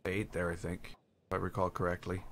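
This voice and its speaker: A man streaming a game, chatting casually and haltingly, answering chat while he builds. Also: low voice, completely flat delivery, a bit bright, slight background noise. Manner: rambling, halting, answers chat between tasks.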